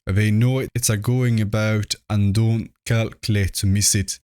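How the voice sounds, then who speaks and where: A man reading a horror story, voicing a dying, superstitious old rustic grandfather in drawn-out country dialect. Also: fairly low pitch, fairly even intonation, a little mellow, clear, resonant tone, dry recording, studio quality, natural voice. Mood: ominous. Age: older. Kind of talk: storybook character voice in horror tale.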